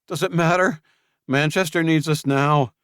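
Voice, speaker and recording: A cornered young traitor, performed by a man, talks fast and defensively, his voice pushed well above the reader's own deep register and louder. He pleads and snaps in the same breath, in a dry, close storybook reading. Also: steady tone, clear, resonant tone, no echo. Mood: defensive, pleading.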